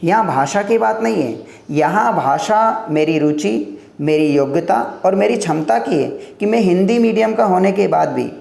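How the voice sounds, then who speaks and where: A man's voice: sits high, animated, moderate pace, vocal fry, a little mellow, reverberant. A man giving an earnest motivational talk on career choices, stacking points in lists, as a teacher addressing his viewers. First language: Hindi.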